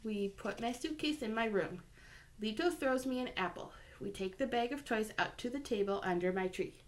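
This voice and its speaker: Expressive woman reading a picture book. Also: moderate pace. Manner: expressive, brighter higher voice for the child's lines. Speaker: reader.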